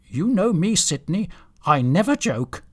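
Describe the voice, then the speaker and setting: A man's voice: high-pitched, sing-song, unhurried, voice pushed up, heavily acted. A man voicing Father Christmas's stern, bossy wife in a storybook, with a scolding, threatening manner. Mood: furious.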